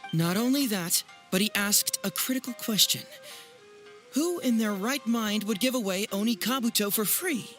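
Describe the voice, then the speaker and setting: An actor playing a clever, teasing young male detective and smooth presenter in a video game, speaking in a wry, theatrical way. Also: high-pitched, moderate pace, fairly bright tone, faint music behind. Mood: dramatic presenting. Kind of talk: animated video game voice acting.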